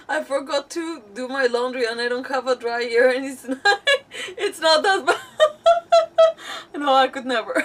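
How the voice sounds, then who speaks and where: A woman's voice: high-pitched, a bit bright, distant mic. A woman livestreaming a video game, chatting casually in a rambling, emphatic way and often repeating words. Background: faint game music.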